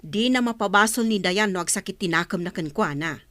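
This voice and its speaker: A woman's voice: medium pitch, fairly quick, fairly bright tone, dry recording, lightly acted. A woman playing a frank, sympathetic but critical relative in a radio drama.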